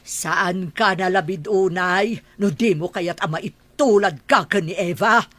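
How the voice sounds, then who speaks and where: A woman's voice: lowish voice, a little slow, fairly bright tone, no echo. An actress playing a sarcastic, nosy middle-aged woman in a radio drama. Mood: defensive. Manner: mocking, bantering. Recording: crowd chatter behind.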